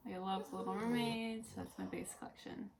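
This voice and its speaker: A woman in her early twenties with noticeable vocal fry, chatting off the cuff as a vlog co-host. She rambles loosely with lots of "like", and there is faint noise behind her. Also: mid-range voice, steady tone, fairly quick. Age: early 20s. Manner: rambling, frequent "like". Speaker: co-host of a Disney fan vlog. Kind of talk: casual unscripted chat, answering tag questions.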